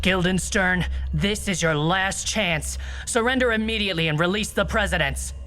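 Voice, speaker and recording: A woman's voice: medium pitch, moderate pace, fairly bright tone, faint music behind, close to an everyday voice. An actress playing a serious, skeptical female secret agent with a dry, businesslike manner in a comedic video game. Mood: stern.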